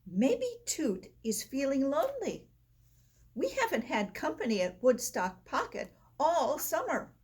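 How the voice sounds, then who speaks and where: A woman's voice: high-pitched, huge pitch swings, unhurried, a little mellow, pitched above the performer's own voice, heavily acted. A woman voicing a caring, encouraging male pig from a children's storybook, earnest and reassuring. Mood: thoughtful.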